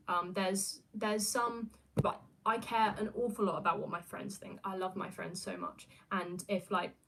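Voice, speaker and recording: A young woman with an English accent, though she is from New Zealand, chats loosely with her viewers. She rambles and restarts often and fills her pauses with ums. She is self-deprecating and casual as she reads out comments. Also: mid-range voice, a bit bright, low-quality recording. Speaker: YouTuber reading viewer comments. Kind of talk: casual chat.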